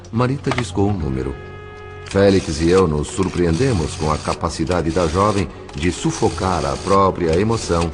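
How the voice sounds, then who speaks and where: Man acting as a very deep, solemn drama narrator. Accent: Brazilian. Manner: solemn, literary, measured. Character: grave spirit narrator of a tragic story. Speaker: narrator of an audio novel.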